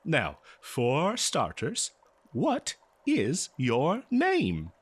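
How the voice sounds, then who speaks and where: A man playing a courteous, eager and nervous scholar in a fantasy audio roleplay, speaking politely and haltingly to the listener. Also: fairly high pitch, huge pitch swings, husky, full character voice. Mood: friendly, eager. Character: eager, nervous, courteous male human professor of ancient civilizations.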